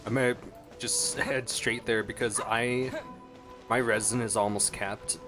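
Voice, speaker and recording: A male streamer comments casually on a game. His pitch is average and his phrasing is informal and trailing, as if thinking aloud. Game music plays clearly behind him. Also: steady tone, a little slow.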